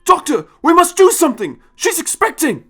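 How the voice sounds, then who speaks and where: Man doing an urgent young man, pitched high. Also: huge pitch swings, moderate pace, sharp tone, heavily acted. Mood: alarmed. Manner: urgent, impassioned. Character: earnest, emotional young male space traveller.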